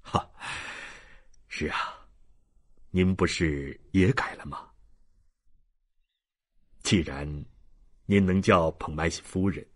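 A man voicing a sorrowful, resigned old father in an audiobook, husky and breathy, speaking haltingly as if muttering to himself. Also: deep voice, voice pushed down. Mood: evasive, subdued. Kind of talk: audiobook character reading.

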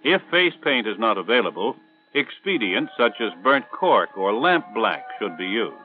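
An American man reading scripted instructional narration for a 1960s military training film in a measured, authoritative way. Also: fairly low pitch, very animated, faint music behind. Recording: tinny band-limited 1960s film soundtrack.

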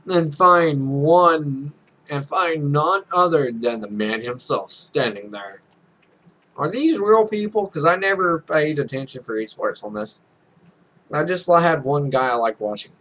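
A man's voice: on the high side, expressive, slow pace, fairly bright tone. A young man hosting a let's play, reading game text aloud with casual, irreverent, sweary asides. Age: young adult.

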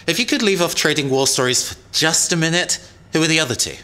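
A man's voice: a little high, expressive, quick pace, sharp tone, clean tone. An actor playing an earnest, anxious young male rookie in a science-fiction audio drama, with a hesitant, worried delivery. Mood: impatient.

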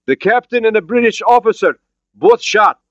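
A man voicing a weary Soviet naval officer with a Russian accent and formal, halting English in an audiobook. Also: low voice, lively intonation, darkish tone, dry recording, muffled recording. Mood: tense, halting.

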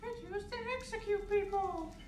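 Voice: very high-pitched, moderate pace. An actor voicing a cheerful but sinister puppet who hosts a children's show in a chirpy, sing-song way. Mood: chirpy, menacing. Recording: echoey, with music behind. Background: music.

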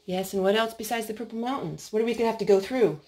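A woman speaking as a mother leading storytime at home for a small child, in an expressive, sing-song, encouraging way. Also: fairly high pitch, quick pace. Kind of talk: child-directed storytelling and chat. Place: carpeted room and wood-floored room at home.

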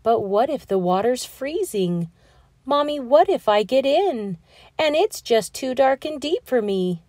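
A tiny boy penguin chick with a high, squeaky voice, as a woman puts him on in a storybook read-aloud. His pitch leaps up and down with feeling, and he talks in a slow, close, dry sound. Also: dry recording, exaggerated voice. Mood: frightened. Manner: anxious, then excited and squealing. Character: small timid young boy penguin chick.

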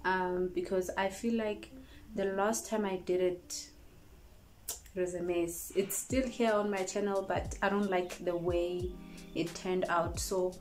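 Slow-talking young woman vlogger. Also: on the low side, faint music behind, poor audio. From South Africa. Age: 20s. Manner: chatty, rambling, relaxed. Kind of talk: casual get-to-know-me chat. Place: small indoor room, in front of cupboards. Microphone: phone camera at close range.

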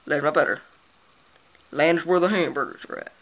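A goofy, easygoing manatee played by a man who drawls out his words slowly. His voice is gravelly and bright, and it sits a little high for a man. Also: rough recording. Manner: slow, drawling, gravelly delivery. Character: easygoing manatee who lives on land, male.

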